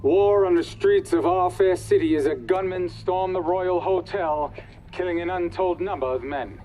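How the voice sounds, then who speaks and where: An actor playing an urgent, declamatory city news reporter in a video game, on a muffled old broadcast. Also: male voice, high voice, moderate pace, mellow, slight background noise, close to an everyday voice.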